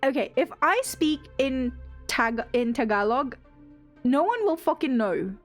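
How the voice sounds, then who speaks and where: A young woman with a British accent chats on a live stream, rambling from one tangent to the next with frequent laughs and casual swearing. Her voice sits a bit high and she takes her time. Faint music runs underneath. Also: expressive, unhurried.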